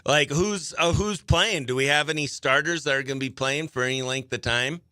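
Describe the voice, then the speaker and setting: A man with an American accent hosts morning radio in a bright, fairly high voice, recorded close and dry. He banters in a chummy, informal way and runs call-in games with upbeat energy. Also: fairly quick, clean tone, dry recording. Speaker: morning radio show host. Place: small radio station studio.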